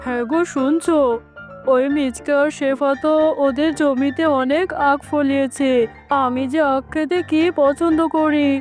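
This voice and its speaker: A woman's voice: high voice, fairly even intonation, measured pace, darkish tone, soft background music. A woman voicing a greedy, scheming tigress wife in an animal cartoon. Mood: complaining. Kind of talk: scripted cartoon dialogue.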